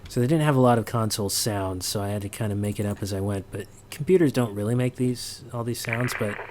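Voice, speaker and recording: Guy with a lowish voice walking thru his sound design, unsure, thinking out loud, bit of background noise. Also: fast. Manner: hesitant, thinking aloud, throat-clearing. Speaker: sound designer presenting a tutorial. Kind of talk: casual screen-recorded walkthrough.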